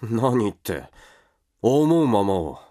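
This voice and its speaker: A man plays a proud, rough brawler of an older brother in a radio drama. He talks coarsely and slowly, with wildly swinging pitch. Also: big pitch swings, mellow. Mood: sullen, defiant. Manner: coarse, gruff slangy talk.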